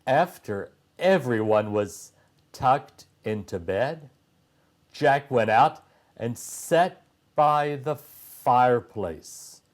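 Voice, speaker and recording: A man reading a children's story aloud as a narrator, phrasing deliberately with frequent pauses. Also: medium pitch, lively intonation.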